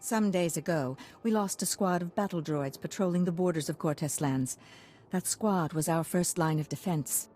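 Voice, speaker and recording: A woman's voice: on the low side, normal speed, dark tone, soft background music, close to an everyday voice. A woman playing a poised, grave baroness with a formal, courtly manner in a video game. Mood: grave concern.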